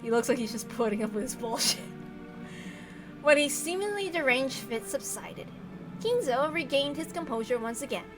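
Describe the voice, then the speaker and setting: A woman's voice: high-pitched, lively intonation, normal speed, over music. A woman reading a visual novel aloud expressively, with casual, blunt and sweary commentary. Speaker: visual novel reader and narrator.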